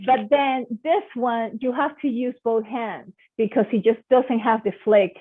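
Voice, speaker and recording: A woman's voice: a little high, a little slow. Enthusiastic Venezuelan woman on a tinny line. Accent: Venezuelan Spanish. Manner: enthusiastic, expressive. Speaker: guest performer. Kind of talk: casual online presentation. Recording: tinny phone-like audio.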